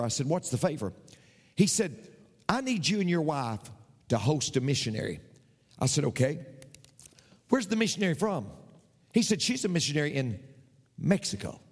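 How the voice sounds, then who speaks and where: Male pastor, lively high voice, long hall reverb, storytelling preacher. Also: breathy edge. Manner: animated, builds to shouted preaching. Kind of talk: sermon storytelling.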